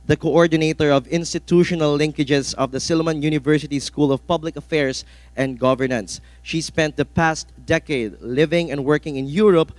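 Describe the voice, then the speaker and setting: A man's voice: on the high side, no room sound. A man speaking as master of ceremonies, giving formal scripted introductions in a ceremonious announcing manner. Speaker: event host, master of ceremonies.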